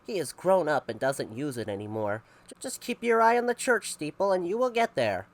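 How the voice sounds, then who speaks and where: A woman voicing a kind young girl who helps everyone, speaking brightly and reassuringly. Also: low-pitched, very animated, brisk. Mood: helpful. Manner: cheerful, reassuring.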